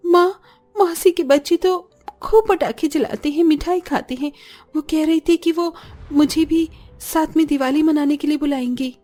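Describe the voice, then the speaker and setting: A woman's voice: high voice, normal speed, dark tone, faint music behind, lightly acted. A woman voicing a poor little girl in a cartoon story, innocent, wistful and plaintive. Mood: innocent, longing.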